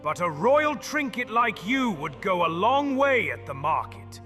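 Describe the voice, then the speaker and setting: A man voicing a mocking half-demon mogul with a high, swooping, bright voice that drawls every word slowly. He sounds theatrical and pleased with himself. A long reverb and faint music sit under him, as in a dark fantasy audio drama. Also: big pitch swings, exaggerated voice. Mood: amused mockery. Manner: theatrical, sneering, savoring each word. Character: smug demon-blooded male mogul, mocking and playful. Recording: reverberant with soft music behind.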